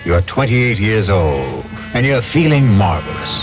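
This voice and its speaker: A man playing an ominous narrator, deliberate and dramatic, giving scripted second-person narration in 1970s radio style. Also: fairly low pitch, sing-song, background noise. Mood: ominous, knowing. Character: suave, ominous male narrator addressing the listener as hero. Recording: dull vintage radio, music behind.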